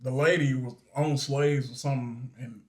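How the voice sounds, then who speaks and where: A man's voice: medium pitch, steady tone, moderate pace, a little mellow. A man, a co-host chatting loosely with lots of fillers. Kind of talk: casual chat.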